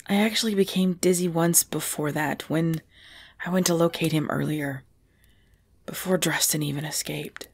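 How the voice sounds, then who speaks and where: A woman acting a tender, regal female vampire lover in an intimate ASMR roleplay, speaking softly and hesitantly with breathy whispers. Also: on the low side. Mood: shaken, reassuring. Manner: soft, hesitant, often dropping to breathy whispers. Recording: music bed under the voice.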